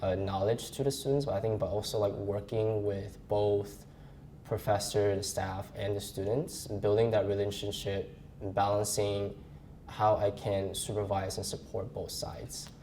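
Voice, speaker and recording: A young man from Malaysia, a graduate student assistant, giving earnest and halting personal interview answers. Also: on the low side, flat delivery, warm tone, slight background noise. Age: young adult.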